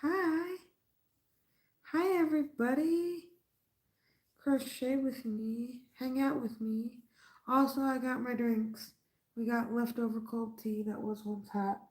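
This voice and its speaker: A woman's voice: on the high side, fairly even intonation, slow pace, long pauses, a little mellow, rough recording. A woman hosting a crochet livestream in casual late-night chat, chatty and playful, with a slightly stuffy nose. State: stuffy nose from a mild cold. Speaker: crochet channel host.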